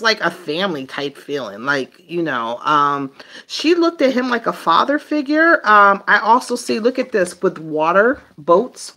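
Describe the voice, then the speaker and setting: Lady doing an off the cuff tarot reading, slow and halting, thinks out loud, pitch goes up and down a lot. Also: slight background noise. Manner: halting, thinking aloud, trailing off mid-phrase. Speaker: tarot reader. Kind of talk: casual improvised tarot reading to viewers.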